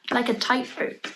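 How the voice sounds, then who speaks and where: A woman reading a children's picture book aloud in an expressive storytelling manner, pausing to point out the pictures. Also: a little high. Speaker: storybook reader.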